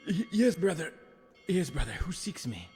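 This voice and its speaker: Earnest, expressive devout soldier. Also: male voice, fairly high pitch, slightly rough, faint music behind, exaggerated voice. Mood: humble, concerned. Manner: earnest, dramatic, halting in awe. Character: kind, devout, earnest Roman soldier, later monk. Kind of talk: audio drama acting.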